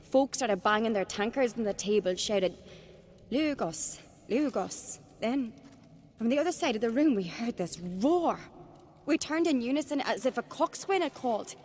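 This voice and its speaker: A woman's voice: on the high side, animated, normal speed, darkish tone, a little hiss or hum, close to an everyday voice. An actress playing a level-headed, headstrong young clanswoman in a video game, speaking earnestly and firmly. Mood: shaken, vivid. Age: young adult. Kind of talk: dramatic video game acting.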